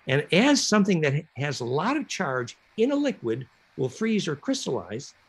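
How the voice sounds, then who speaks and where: A man lectures over a video call in a gravelly, husky voice that swings up and down with lively energy. He rambles through his explanation, filling gaps with uhs and restarts. His pitch sits a little high for a man. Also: normal speed. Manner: animated, rambling explanation with many fillers. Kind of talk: online lecture.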